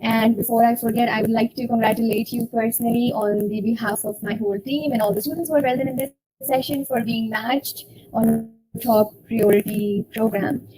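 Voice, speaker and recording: A young woman hosting an online student session, speaking slowly and formally as she welcomes guests. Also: a little high, steady tone, mellow, husky, poor audio. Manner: slow, deliberate, formal phrasing. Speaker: event host for a student association.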